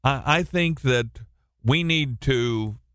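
A man with a loud, carrying voice teaches a Bible passage from a radio studio. He speaks slowly, often doubling back on his words before going on. The sound is dry and close, with no room around it. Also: mid-range voice, no echo. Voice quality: loud, projecting voice. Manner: halting, with frequent restarts and repeated words.

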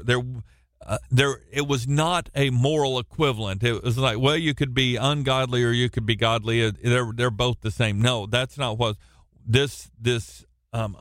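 Slow, loud-voiced radio Bible teacher. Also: male voice, mid-range voice, dry recording, clean studio sound. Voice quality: loud, projecting voice. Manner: halting, with frequent restarts and repeated words. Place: radio studio, alone.

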